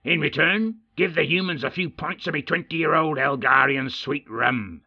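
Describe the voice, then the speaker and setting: A man voicing a gruff, hearty male dwarf king in an audiobook, speaking in a folksy dwarvish dialect, jovial and bossy. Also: a little high, moderate pace, dry recording, lo-fi sound, pitched above the performer's own voice. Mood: commanding. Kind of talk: audiobook character voice.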